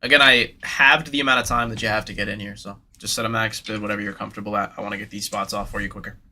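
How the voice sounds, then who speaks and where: A man's voice: on the low side, fairly even intonation, racing, pushed and bright, slight background noise. A young man hosting a live sports card break, chatting casually and hyped with slang, speaking straight to his viewers in a slightly nasal voice.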